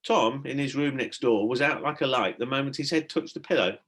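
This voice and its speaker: Expressive man reading a children's story. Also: medium pitch, fairly quick. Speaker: primary school teacher reading aloud.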